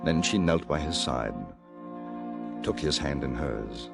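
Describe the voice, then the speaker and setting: An actor playing an earnest newspaper correspondent in an Old West radio drama, narrating with dramatic intensity. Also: male voice, a bass voice, slow pace, gravelly, heavily acted. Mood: somber, subdued. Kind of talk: 1950s radio western acting, dramatic first-person narration. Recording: old radio recording, music behind.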